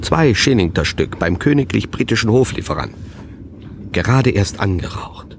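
A put-upon male sidekick in a radio drama, low and gravelly. His dry grumbling swings in pitch over faint music. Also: expressive, normal speed, noisy background. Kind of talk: radio play acting.

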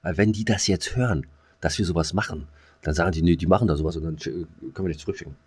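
A man's voice: deep voice, very fast, darkish tone, breathy edge. A man, chatting casually as a podcast co-host in a slangy, crude, rambling way.

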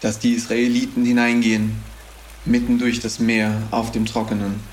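A man's voice: medium pitch, monotone, moderate pace, slight background noise, close to an everyday voice. An actor playing a fanatical young male crusade leader, praying and reciting scripture with fervent, chanting intensity in a radio drama. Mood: chanting prayer. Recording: roomy reverberant recording.

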